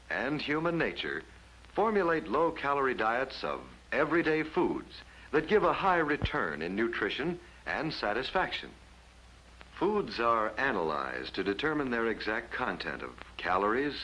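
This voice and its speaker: Husky 1950s film narrator, American man. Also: medium pitch, pushed and bright, low-quality recording. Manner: formal, measured announcer delivery. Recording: old 1950s film soundtrack.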